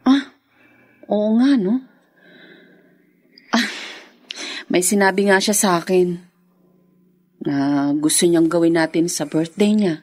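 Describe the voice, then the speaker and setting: An actress playing a soft-hearted, anxious wife and mother in a Filipino radio drama, tender and hesitant. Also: lowish voice, takes it slowly, pauses often, slightly rough. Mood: affectionate.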